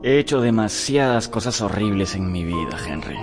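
An actor playing an earnest, remorseful young romantic gentleman in a radio drama, speaking with dramatic sincerity. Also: fairly low pitch, expressive, normal speed, lightly acted. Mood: confessional, earnest. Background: music.

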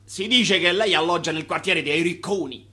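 An actor playing a brash, cocky sidekick to a crooked boss in an Italian radio play, chatty and swaggering. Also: male voice, on the high side, moderate pace, pushed and bright. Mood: cocky, jaunty.

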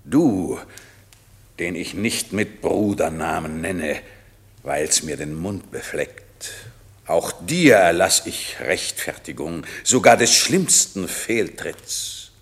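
An actor playing a grave, commanding wronged duke and magician in a classic radio play, speaking verse in a solemn, measured way. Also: male voice, deep voice, animated, full character voice. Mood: stern, solemn.

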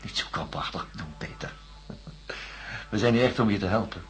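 An actor playing a doctor in a radio drama, questioning a patient in a measured, coaxing, methodical way. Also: male voice, fairly low pitch, animated, in a whisper, close to an everyday voice. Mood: reassuring. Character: probing, reassuring male doctor interviewing a patient. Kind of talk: science-fiction radio drama acting.

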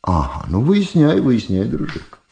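A sly old political boss for a vintage radio drama. His voice is dark and relaxed, with very animated intonation. He is mockingly affable and takes his time, repeating words as if toying with his guest. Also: mid-range voice, gravelly, highs cut off, full character voice. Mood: falsely friendly. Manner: unhurried, repeats words, falsely friendly. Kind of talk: 1970s Soviet radio drama acting.

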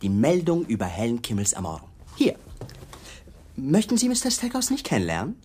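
An actor in a German radio crime drama playing a pushy police detective who is mock-polite, probing and slyly insinuating. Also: male voice, mid-range voice, wildly swooping pitch, fairly quick, a little mellow, slight background noise. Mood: needling.

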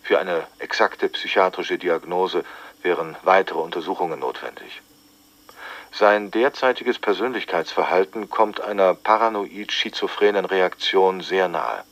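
An actor playing a sober male psychiatrist who gives a formal assessment in a measured, matter-of-fact way. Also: sits low, a bit bright, highs cut off. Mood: sober, clinical. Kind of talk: formal expert report in radio drama.

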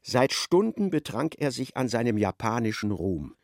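An actor narrating a darkly comic literary radio play as an observant storyteller. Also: male voice, medium pitch, big pitch swings, moderate pace, no echo, clean studio sound. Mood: wry narration.

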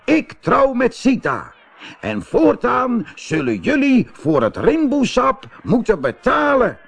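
A man's voice: very high-pitched, wildly swooping pitch, takes it slowly, vocal fry, a bit bright, pitched above the performer's own voice, exaggerated voice. A man voicing a scheming, bossy storybook villain with a conspiratorial, commanding manner. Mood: triumphant, bossy. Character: scheming villain, sly would-be usurper of a jungle kingdom.

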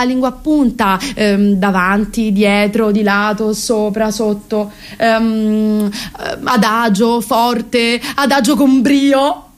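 A woman's voice: on the high side, lightly acted. An actress playing an impassioned, rambling woman around thirty, hesitant and self-correcting, in a comic radio theatre monologue. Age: 30s. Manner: hesitant, self-correcting, mock-solemn and emphatic.

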